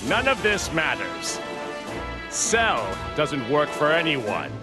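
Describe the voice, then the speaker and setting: A bio-engineered insectoid villain for an anime video game. He is haughty and calculating, speaking slowly and formally with big swings in pitch. Music plays clearly behind him. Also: huge pitch swings, full character voice. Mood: coolly arrogant. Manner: pompous, haughty, deliberate formal phrasing. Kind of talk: English anime game dub acting.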